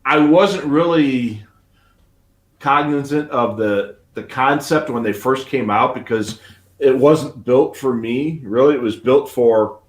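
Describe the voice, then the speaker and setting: American guy, mid-pitched voice, chatting on a livestream, kinda rambly, roomy echo. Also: takes it slowly. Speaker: host of a live scanner hobby show. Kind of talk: casual live chat. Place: indoor room at a desk. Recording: echoey room.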